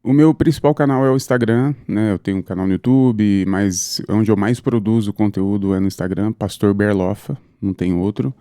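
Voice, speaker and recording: A man, talking with a low, mellow voice. He tells his story steadily and fluently, often adding "né". The sound is close and dry. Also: normal speed, hardly any pauses, no echo. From São Paulo state, Brazil. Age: 30s. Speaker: pastor, guest. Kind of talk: conversational interview, personal account.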